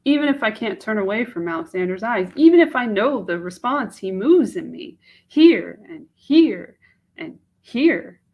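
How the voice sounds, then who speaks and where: A woman's voice: mid-range voice, animated, a little slow, dull audio. A woman reading a dramatic monologue as a young woman agonizing over a marriage proposal, in a breathless, searching and emotional way. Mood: yearning.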